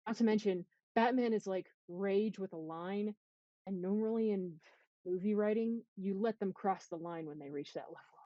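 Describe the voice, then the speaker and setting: A woman's voice: medium pitch, normal speed, no room sound, lo-fi sound. A woman podcast host talking casually, making her points in an analytical, emphatic way over a voice call.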